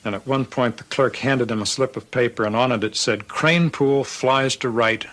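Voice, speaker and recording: A man telling an anecdote in a measured, wry way with precise wording. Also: medium pitch, fairly bright tone.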